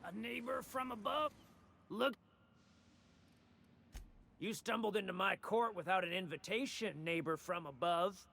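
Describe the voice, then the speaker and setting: A man's voice: high-pitched, creaky, sharp tone, exaggerated voice. A man playing a grandiose, taunting outlaw gang boss who styles himself a king, theatrical video game villain dialogue. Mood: taunting menace. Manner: grandiose, taunting, drawn-out delivery.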